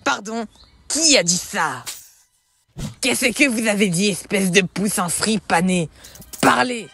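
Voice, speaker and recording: A pompous demon warlord. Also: male voice, high voice, animated, gravelly, pushed and bright, husky, dry recording, heavily acted. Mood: indignant. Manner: grandiose, slow and declaiming. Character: pompous boastful male demon machinist warlord. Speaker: fan dub actor. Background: music.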